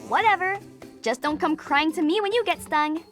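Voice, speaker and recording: High, sarcastic cartoon girl. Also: normal speed, music in the background. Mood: scolding. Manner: dry, sarcastic. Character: sarcastic, sensible, brainy young girl. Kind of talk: animated cartoon game acting.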